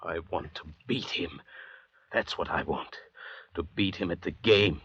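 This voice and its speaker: A man's voice: deep voice, unhurried, fairly bright tone, breathy edge, dry recording, heavily acted. A man playing an arrogant, learned castaway doctor in a 1940s radio drama, weary and theatrically lecturing. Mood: obsessive, grim. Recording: dull 1940s radio recording.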